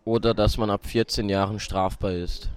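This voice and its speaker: A man's voice: lowish voice, steady tone, normal speed. A teenage boy reading explanations from a script for a school podcast. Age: teens. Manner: reads script lines. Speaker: student podcast speaker.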